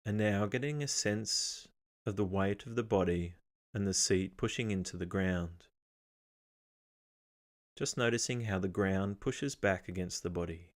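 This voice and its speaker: Low-voiced man guiding a meditation. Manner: calm, measured, instructional with pauses. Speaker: meditation guide. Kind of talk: guided meditation narration.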